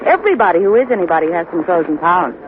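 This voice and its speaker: A coy femme fatale on tinny radio. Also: female voice, fairly low pitch, big pitch swings, very fast. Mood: flirtatious. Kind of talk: 1950s radio drama acting. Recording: noisy, tinny old 1950 radio recording.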